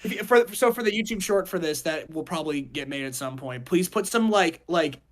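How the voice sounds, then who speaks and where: Higher-voiced man chatting on a casual podcast. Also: lively intonation, a little fast. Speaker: podcast co-host. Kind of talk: casual group podcast chat.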